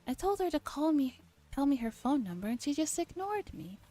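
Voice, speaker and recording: A woman's voice: high voice, sing-song, normal speed, dark tone. A woman streamer playfully reading a visual novel aloud and acting out the dialogue, in an ASMR stream. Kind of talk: ASMR game stream, read-aloud and chat. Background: game music.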